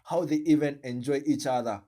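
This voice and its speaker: A man with a Kenyan accent hosting a reaction video, commenting in an animated, joking way and switching between slang and English. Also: medium pitch, a little fast, heavy background noise. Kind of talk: commentary on a played clip.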